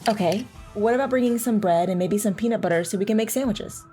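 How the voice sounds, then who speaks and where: A loving mother in an audio drama, played by a woman, speaks in a reassuring, playful way at a medium pitch. Soft music runs underneath as she tells her story and teases her child. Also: quick pace, lightly acted. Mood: warm, agreeable. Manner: reassuring, teasing. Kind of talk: audio drama narration and dialogue.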